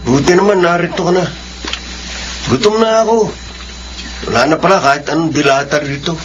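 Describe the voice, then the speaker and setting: An actor with a Filipino accent playing an irresponsible, blaming young husband in a radio drama. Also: male voice, on the high side, a little fast, highs cut off, loud music behind the voice, lightly acted. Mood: defensive, angry.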